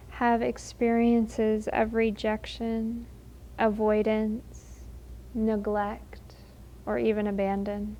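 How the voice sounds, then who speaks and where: Slow, soft-toned woman guiding meditation. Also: fairly high pitch, muffled recording, slight background noise. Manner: gentle, unhurried, soothing, with long pauses. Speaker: meditation guide. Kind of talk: guided meditation.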